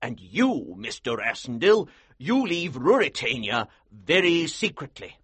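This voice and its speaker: A man voicing a forceful military colonel in an audiobook, urgent and emphatic, with a husky strained voice. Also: medium pitch, very animated, dry recording, pitched above the performer's own voice, heavily acted. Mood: excited. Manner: slow, emphatic, commanding. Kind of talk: audiobook character acting.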